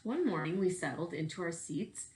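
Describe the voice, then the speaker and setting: A woman's voice: fairly low pitch, animated, moderate pace, darkish tone. A woman reading a storybook aloud in the manner of a teacher addressing children.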